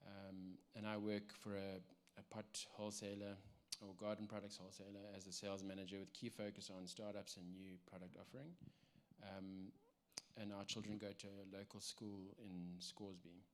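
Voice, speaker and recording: A man in his thirties with a South African accent, giving a personal church testimony in a halting way with many ums and restarts. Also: lowish voice, flat delivery. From South Africa, Cape Town.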